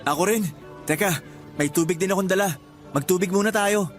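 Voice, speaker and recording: A male actor playing an upbeat, loyal young suitor in a Filipino radio drama, chummy and animated. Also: high-pitched, brisk, soft background music, close to an everyday voice. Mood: nervous, earnest.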